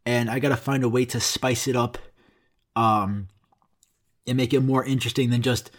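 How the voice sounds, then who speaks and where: A man's voice: medium pitch, level tone, moderate pace. A young man who grew up speaking Greek, rambling casually and swearing while answering viewer questions. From United States. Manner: rambling, profane, self-mocking. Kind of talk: casual solo Q and A.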